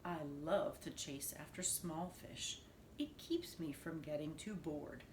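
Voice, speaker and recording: Woman playing a swordfish hunter, hungry, playful, low-pitched, measured storybook reading, roomy echo. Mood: playful, predatory. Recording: roomy echo with faint noise.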